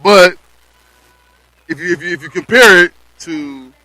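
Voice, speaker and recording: A man's voice: high voice, a little slow, pushed and bright, rough recording. A man co-hosting a radio show, chatting casually with stammering restarts.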